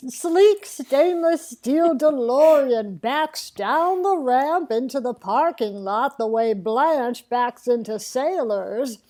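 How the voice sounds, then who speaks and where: A high-pitched elderly lady narrates a comedic table read. She takes her time, halting between phrases, sweet on the surface and slyly naughty underneath. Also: darkish tone. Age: older. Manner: halting, deliberate storytelling with sly asides. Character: impression of elderly comic actress narrating, slow, sweetly naughty.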